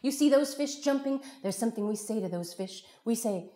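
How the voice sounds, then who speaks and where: A woman's voice: on the high side, lively intonation, fairly quick, dark tone, lightly acted. A woman voicing a patient, earnest mother in a folk tale, teaching her young son with emphatic, instructive phrasing. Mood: gentle teaching.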